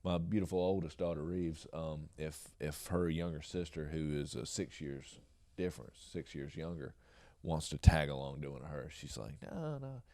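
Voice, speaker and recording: Very deep booming Southern man. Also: moderate pace, hardly any pauses, mellow. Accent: Southern US, Georgia. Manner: rambling, chuckling, lots of fillers. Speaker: podcast guest, jiu jitsu coach. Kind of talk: casual interview storytelling.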